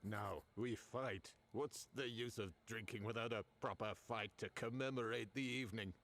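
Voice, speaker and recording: A small, grubby drunken fairy man from a fantasy game. The voice sits on the low side, slurred and coaxing, with a pushy brawler's temper. There is faint noise behind it. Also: normal speed, a bit bright. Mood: merrily belligerent. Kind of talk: video game character acting.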